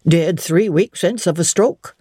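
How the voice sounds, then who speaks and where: A woman's voice: lowish voice, measured pace, dark tone, slightly rough, dry recording, clean studio sound. A woman voicing a plain-spoken, homely old servant woman with a Northern English dialect, in a dramatic audiobook reading. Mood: matter-of-fact.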